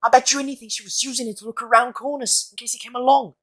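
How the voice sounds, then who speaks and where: A woman playing an earnest, quick-thinking boy wizard in an audio drama, speaking with deliberate conviction. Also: a little high, fairly even intonation, a bit bright, husky. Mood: urgent realisation. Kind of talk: fan audio drama acting.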